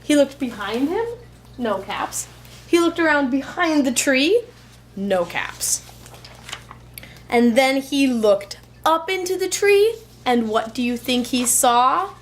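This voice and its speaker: A woman preschool teacher reading a children's storybook aloud in an expressive, deliberate, rhythmic storytelling way. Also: high voice, a little hiss or hum.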